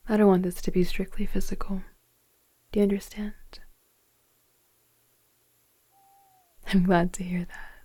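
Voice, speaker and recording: Breathy, whispering mature woman, gently flirtatious, acted by a woman. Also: mid-range voice. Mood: tender, sincere. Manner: soft, tender, hesitant, unhurried flirting. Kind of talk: intimate ASMR romance roleplay.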